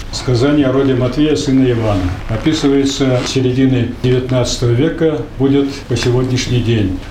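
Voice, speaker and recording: An older man, a memoir author, speaking to a club meeting in an unhurried, plainspoken way as he recounts his work and family. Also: mid-range voice, a little mellow. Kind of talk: reminiscing speech at a club meeting. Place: library meeting hall, indoors. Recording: roomy hall with background noise.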